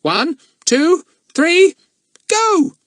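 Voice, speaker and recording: A man's voice: very high voice, big pitch swings, very slow, a bit bright, no echo, studio quality, pitched above the performer's own voice, full character voice. A man voicing a cheeky pet parrot that squawks bossy orders in a storybook reading. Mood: squawking.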